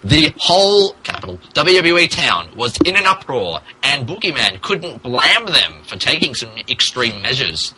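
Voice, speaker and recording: A man's voice: medium pitch, very animated, moderate pace, few pauses, background noise. A man reading fan fiction aloud in a mock-dramatic style, poking fun at the mistakes in the text as he goes. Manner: stumbles over and mocks the text's typos. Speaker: podcast host reading aloud.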